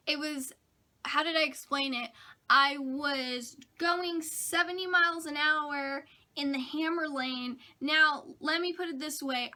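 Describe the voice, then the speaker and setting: Hoarse, bright-voiced chatty young woman. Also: sits high. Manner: chatty, self-mocking, animated storytelling. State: hoarse from a cold. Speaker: vlogger. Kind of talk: casual chat to camera.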